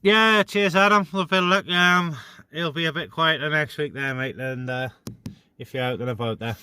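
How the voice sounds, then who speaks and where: Bright, clear-voiced man, matey. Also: on the high side, normal speed, no room sound. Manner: matey, informal. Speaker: vlogger and CB radio operator. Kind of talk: casual radio chat and vlog talk. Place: outdoors on a mountain top.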